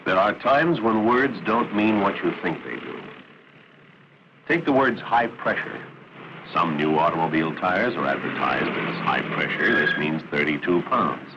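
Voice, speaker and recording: A man giving formal, authoritative, measured narration for a 1960s military training film. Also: sits low, expressive, noisy background. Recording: muffled 1960s film soundtrack.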